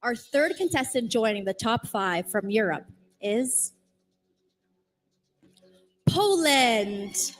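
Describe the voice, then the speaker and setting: High-voiced woman announcing a pageant. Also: lively intonation, takes it slowly, long pauses, soft background music, poor audio. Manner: upbeat, projecting announcements. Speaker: pageant host.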